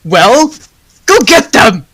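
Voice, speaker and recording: A proud, stingy, quick-tempered baker man in a radio play, played by a teenage girl. The voice is bright and forceful, scolding with theatrical emphasis. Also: a little high, big pitch swings, breathy edge, full character voice. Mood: irritated, bossy. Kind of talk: high school radio play acting.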